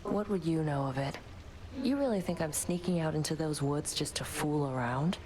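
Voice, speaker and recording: A woman's voice: low voice, normal speed, background noise, natural voice. A woman playing a prickly, defensive young woman in a video game, speaking curtly. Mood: irritated, defensive. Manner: curt, defensive.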